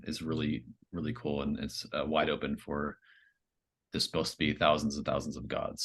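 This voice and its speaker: A man with a very deep voice that stays quite flat. He speaks casually, as a player around a tabletop roleplaying game.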